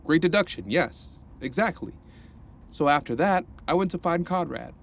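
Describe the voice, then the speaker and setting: An earnest reformed supervillain. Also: male voice, fairly high pitch, lively intonation, normal speed, gravelly, slight background noise. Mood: reflective. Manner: gentle, earnest, encouraging. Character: earnest reformed supervillain, now a male counselor. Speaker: rehab counselor and narrator. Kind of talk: audio drama acting.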